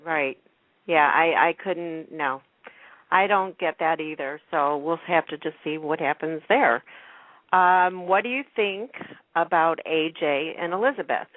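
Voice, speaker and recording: A woman's voice: deep voice, a little slow, no echo. A woman making casual, agreeable conversation on a radio talk show in an informal way. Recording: narrow phone-like radio sound.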